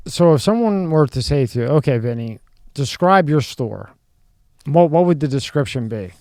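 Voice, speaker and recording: Smooth-voiced male host asking questions. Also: fairly high pitch, expressive, normal speed, mellow, no echo, studio quality. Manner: curious, conversational questioning. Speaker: interview host. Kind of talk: casual interview questions.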